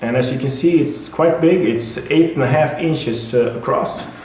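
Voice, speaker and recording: A man with a Swedish accent walks through how a product works, halting often with fillers and repeated words. His voice sits at an ordinary pitch. The recording is very echoey, with a faint noise underneath. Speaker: product representative. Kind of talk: explaining and demonstrating a product. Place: building site at a house.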